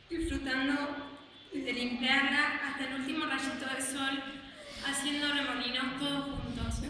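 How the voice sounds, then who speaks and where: A woman's voice: high voice, sharp tone. A young woman with an Argentine accent reading a heartfelt farewell speech for her grandfather from a lectern in a large church. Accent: Argentine Spanish. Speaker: granddaughter giving a eulogy. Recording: echoey church from far off, with background noise.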